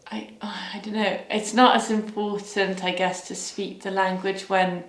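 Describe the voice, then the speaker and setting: A young woman from Wales answering interview questions in a hesitant, thoughtful way, often pausing to search for words. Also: medium pitch, little pitch movement, reverberant.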